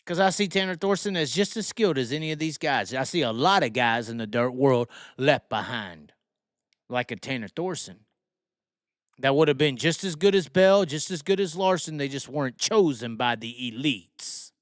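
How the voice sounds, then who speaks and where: Guy ranting about racing, swears a ton, repeats himself for punch, smooth clear voice, dry close sound. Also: mid-range voice, lively intonation, moderate pace, no echo. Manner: brash, heavily profane, emphatic; repeats phrases for emphasis. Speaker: dirt racing commentator. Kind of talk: opinionated solo rant commentary.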